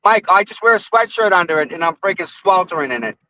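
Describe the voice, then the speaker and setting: A man with a Boston accent, co-hosting a casual live stream, excitable and quick to repeat himself. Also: sits high, slight background noise, rough recording. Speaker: co-host.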